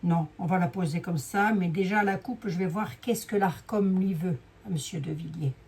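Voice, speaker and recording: Hoarse chatty woman reading cards. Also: fairly low pitch, normal speed, a little mellow. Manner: chatty, rambling, many fillers and asides. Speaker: clairvoyant doing a card reading. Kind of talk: casual live card-reading chat. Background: fan noise.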